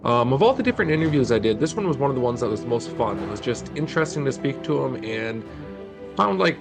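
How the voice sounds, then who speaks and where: An American man with a mid-pitched voice hosts a casual interview. He talks conversationally as the channel's host, with music clearly audible behind him. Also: big pitch swings, fast. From northern Wisconsin, United States. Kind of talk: casual spoken introduction and interview chat.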